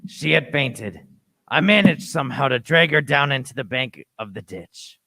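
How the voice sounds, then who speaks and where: A man playing an earnest young narrator recounting his adventure deliberately in a scripted radio play. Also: mid-range voice, expressive, gravelly, bright, forceful tone, full character voice. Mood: grave, recounting.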